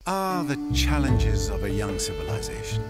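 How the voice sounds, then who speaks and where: Male game narrator. Wry, theatrical storyteller, sweeping intonation, mid-pitched, prominent score underneath. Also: big pitch swings. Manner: theatrical, wry, storyteller-like delivery with deliberate phrasing. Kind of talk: scripted cinematic narration. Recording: voice over prominent music score.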